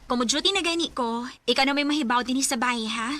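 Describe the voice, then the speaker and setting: A coaxing, protective married big sister in a radio drama. Her voice sits very high with a bright edge, and her talk is familiar and conversational. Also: fast talker, soft background music.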